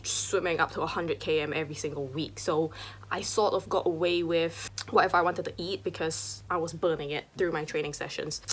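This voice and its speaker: A young woman in her twenties from Singapore, talking candidly and confessionally in a personal vlog, full of "like" and "you know," sometimes dropping to a soft whisper. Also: on the low side, slight background noise. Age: 20s. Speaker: vlogger and streamer.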